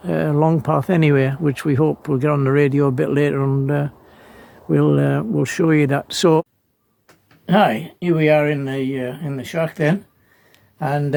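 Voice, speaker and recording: Halting, creaky-voiced New man. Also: on the high side, a little mellow, dry recording. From New Zealand. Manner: halting, hesitant, pausing mid-sentence. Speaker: amateur radio operator, presenter. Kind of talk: casual unscripted show-and-tell. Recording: noisy amateur home video.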